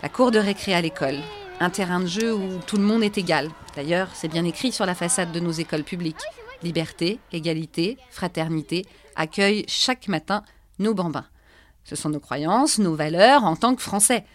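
A woman's voice: on the low side, moderate pace. A middle-aged woman from France hosting a podcast, narrating and interviewing in a conversational way with frequent hesitation fillers. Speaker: podcast host.

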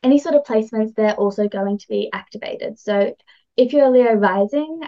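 A woman's voice: fairly high pitch, normal speed, mellow, dull audio. A woman chatting casually as she explains astrology as a podcast guest, informal, enthusiastic and encouraging.

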